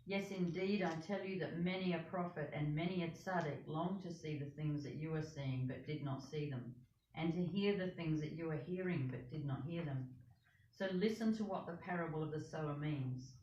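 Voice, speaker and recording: A woman reading scripture aloud to a congregation in a steady, measured way. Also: sits low. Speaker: scripture reader. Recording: dull, echoey, distant with faint hiss.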